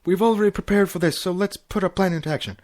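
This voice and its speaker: A man voicing an anxious, well-meaning young male pony husband, hurried and nervous, in a story reading. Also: sits high, darkish tone, pitched above the performer's own voice, close to an everyday voice. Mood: panicked.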